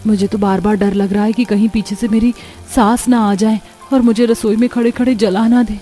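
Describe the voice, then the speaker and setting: A woman's voice: mid-range voice, little pitch movement, moderate pace, mellow, faint music behind, lightly acted. A woman voicing an anxious, emotional young bride in a cartoon story, suspicious and pleading. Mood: fearful. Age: young adult.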